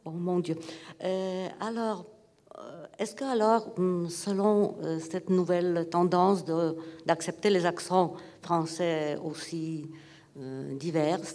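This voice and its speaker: An audience member hesitantly asks a question at a conference, speaking very slowly with many fillers. The voice rings with the long reverb of a hall. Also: mid-range voice, a little mellow.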